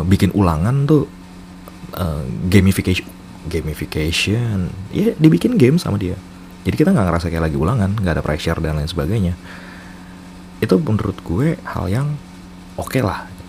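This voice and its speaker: Deep, mellow Jakarta man chatting casually. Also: expressive, a little fast, creaky, pauses often, slight background noise. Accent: Jakarta Indonesian. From Indonesia, Jakarta. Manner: self-mocking, informal slang. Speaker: storyteller host. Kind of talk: casual personal storytelling with English code-switching.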